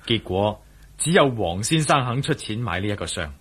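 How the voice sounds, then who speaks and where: A man playing an earnest, fair-minded adventurer in a radio drama, probing and gently admonishing. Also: fairly low pitch, sing-song, moderate pace, husky, heavily acted. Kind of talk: Cantonese radio drama acting.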